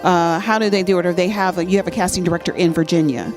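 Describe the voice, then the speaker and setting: A woman's voice: lowish voice, fairly even intonation, quick pace. A woman hosting an interview at a fan convention, asking questions in an eager, enthusiastic way with repeated "I mean". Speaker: interviewer and host. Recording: music faint behind the voice. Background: music playing.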